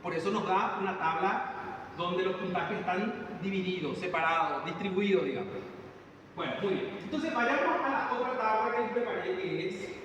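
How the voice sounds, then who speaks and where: A man's voice: high-pitched, moderate pace. A man teaching a statistics class, explaining step by step and questioning his students. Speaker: psychometrics teacher. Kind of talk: classroom lecture. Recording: distant echoey classroom recording with background noise.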